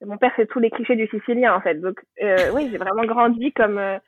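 Woman chatting casually, kinda high voice, tinny phone-like sound, dry, telling stories and thinking aloud. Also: a little fast, dry recording. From Normandy, France. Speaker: podcast guest. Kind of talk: casual personal storytelling. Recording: thin phone-like band, dry.

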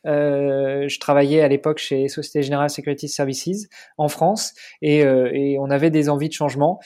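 A man in his forties talks casually as a podcast guest. His voice sits a little high for a man, and he hesitates often, filling pauses and restarting his sentences as he tells his story. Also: fairly even intonation, warm tone. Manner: hesitant, many fillers and restarts. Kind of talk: casual interview.

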